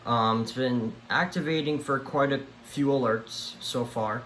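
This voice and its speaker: A man giving a casual, unscripted show-and-tell, speaking haltingly and trailing off at the ends of his thoughts. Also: mid-range voice, fairly bright tone, echoey room, low-quality recording. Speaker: collector presenting a home video tour. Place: room at home among many radios. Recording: clear background noise and room ambience. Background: weather radio broadcast playing.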